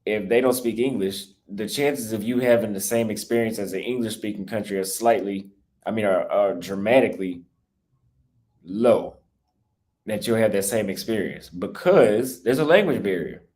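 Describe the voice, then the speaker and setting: Lowish-voiced man rambling on a livestream. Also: moderate pace, darkish tone. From United States. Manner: rambling, defensive, frequent restarts. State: voice slightly cracked. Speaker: travel YouTuber live-streaming. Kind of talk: casual livestream talk reading super chats.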